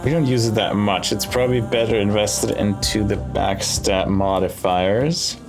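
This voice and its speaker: A man giving casual gameplay commentary, thinking aloud in short, musing phrases with pauses between them. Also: lowish voice, steady tone. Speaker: let's play host. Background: game music.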